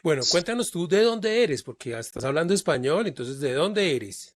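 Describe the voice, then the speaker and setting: A man hosts a podcast interview. His questions are friendly and formal, and his voice sits a little higher than most men's. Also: big pitch swings, normal speed, no echo. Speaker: podcast host.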